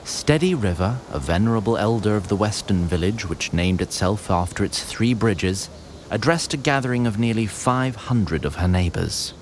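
A man's voice: on the low side, expressive, normal speed. A man reading scripted fantasy narration in a literary storytelling manner. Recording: noisy background ambience.

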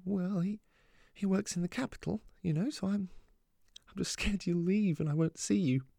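A man voicing an anxious, loving mother in a story, talking in a fretful, halting way. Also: mid-range voice, darkish tone, dry recording, clean studio sound, lightly acted. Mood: tearful, relieved. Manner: fretful, halting, repeating words. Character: anxious, exasperated, loving mother of a teenage daughter. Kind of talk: audiobook character dialogue.

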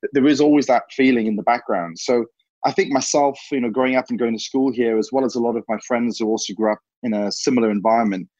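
A man's voice: medium pitch, fast, a little mellow, dry recording. A man in his thirties from Hong Kong, answering interview questions in a rambling way, full of "you know". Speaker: interview guest. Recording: dull lo-fi sound.

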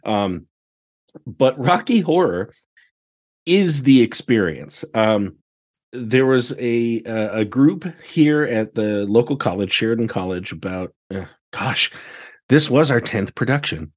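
A man's voice: mid-range voice, expressive, takes it slowly. An American man chatting casually as a podcast guest, telling stories with exclamations and quoting himself. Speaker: podcast guest, theatre director. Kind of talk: casual chat.

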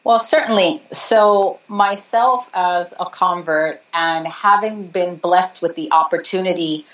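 A woman's voice: lowish voice, slow, a bit bright. A woman from New York, a guest in an interview, explaining earnestly and at length. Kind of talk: conversational interview. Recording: slightly dull audio.

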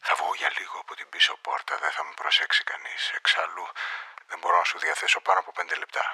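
An actor playing a secretive, dismissive businessman in a radio play, speaking in a hushed, clipped way. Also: sits low, little pitch movement, slightly rough, full character voice. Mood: curt, guarded. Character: curt, secretive businessman on a brief call.

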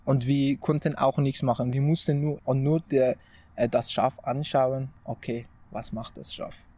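Slow-speaking young man from Afghanistan. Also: medium pitch, level tone, mellow. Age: young adult. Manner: slow, searching for words, halting restarts. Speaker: interview guest. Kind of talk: personal storytelling.

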